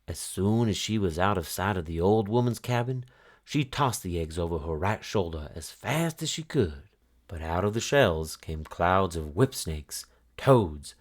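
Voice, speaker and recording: A man with a deep voice narrates a children's storybook. His delivery is highly melodic and expressive, rising and falling to carry the tale. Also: unhurried.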